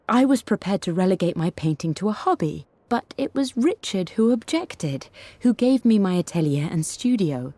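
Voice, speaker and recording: Mellow, reflective English wife. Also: female voice, medium pitch, no room sound. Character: devoted married English woman painter, reflective and tender. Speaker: voice actor. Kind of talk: dramatic reading of a personal letter or journal.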